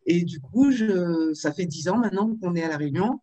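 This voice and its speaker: A woman in her fifties from Marseille, telling her life story in a video-call interview, with frequent pauses and fillers. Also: on the low side, expressive, mellow, no room sound. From Marseille, France. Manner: hesitant, with many fillers and restarts. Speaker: author and interview guest.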